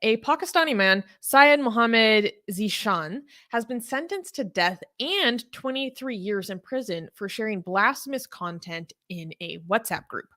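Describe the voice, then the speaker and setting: A woman co-hosting a casual news discussion, chatty with many fillers, reading stories aloud and reacting with animated commentary. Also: a little slow, hardly any pauses. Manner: animated, rises in pitch when outraged.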